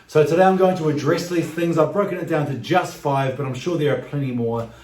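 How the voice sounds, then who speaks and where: A man's voice: a little high, brisk, darkish tone. A man in his forties with a New Zealand expat accent, talking emphatically and directly to his viewers as a vlog host. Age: 40s. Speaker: YouTube channel host. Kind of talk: direct-to-camera vlog talk. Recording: echoey, roomy sound.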